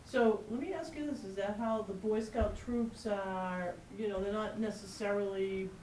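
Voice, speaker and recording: Woman chair, brisk and friendly, distant roomy room sound with hiss. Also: medium pitch, darkish tone. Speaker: meeting chair. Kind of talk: town board meeting. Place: town hall meeting room. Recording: roomy hall audio from afar, background noise.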